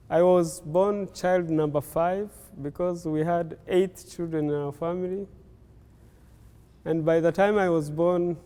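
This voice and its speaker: An older man from Rwanda, a native Kinyarwanda speaker, recalling his life story slowly, pausing often with fillers. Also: a little high, dark tone, smooth, big-room sound. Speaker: interviewee. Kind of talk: slow life-story recollection.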